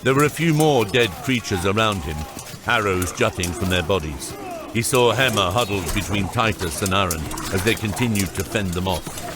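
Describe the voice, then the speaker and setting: A man giving measured, scripted dramatic narration as the narrator of an epic fantasy audiobook. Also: on the low side. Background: prominent music.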